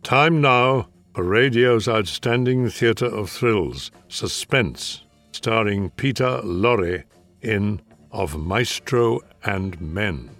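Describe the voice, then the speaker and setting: An older man hosting a mystery radio show with a measured, deliberate delivery. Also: fairly low pitch, lively intonation, a bit bright, soft background music. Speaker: host. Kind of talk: scripted introduction.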